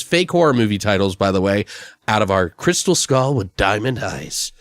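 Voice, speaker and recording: An American man hosts a casual comedy podcast with a middling pitch and a dry, close sound. He talks informally, joking around and swearing freely. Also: a bit bright, smooth, no room sound. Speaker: podcast host.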